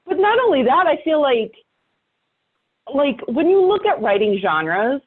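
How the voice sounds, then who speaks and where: A woman's voice: high-pitched, big pitch swings, a little slow, narrow, tinny audio. A woman speaking casually as a guest in a podcast interview.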